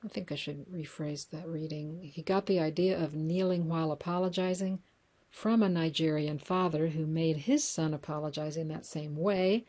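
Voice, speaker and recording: A woman's voice: low voice, fairly even intonation, normal speed, mellow. A woman reading news articles aloud, stopping now and then to correct herself with dry asides. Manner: wry asides. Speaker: news reader.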